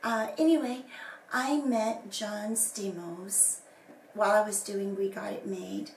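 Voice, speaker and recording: A woman giving a rambling personal account, her voice still hoarse and breathy from illness, often dropping into whispers. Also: medium pitch, steady tone, slow pace, a little hiss or hum. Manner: rambling, many fillers and restarts. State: recovering from lost voice, hoarse and whispery. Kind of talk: casual personal statement to camera. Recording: echoey room from a distance, faint ambience.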